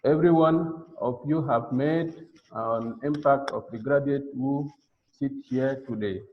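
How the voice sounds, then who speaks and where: A man's voice: medium pitch, measured pace, slight background noise. Man; hesitant graduation thanks over dull, lo-fi sound. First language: French. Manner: hesitant, with frequent fillers. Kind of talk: graduation thank-you speech. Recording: dull lo-fi audio.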